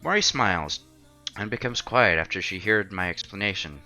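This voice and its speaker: A man's voice: low voice, expressive, normal speed, bright, forceful tone, soft background music. A man narrating a visual novel aloud as a streamer, expressively and acting out the dialogue.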